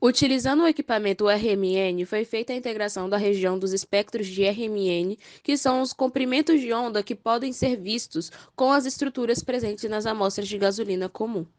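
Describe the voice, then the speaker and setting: A woman's voice: medium pitch, fairly quick, few pauses, lightly acted. A woman with a Brazilian accent playing a formal, technical female forensic expert in a scripted educational cartoon.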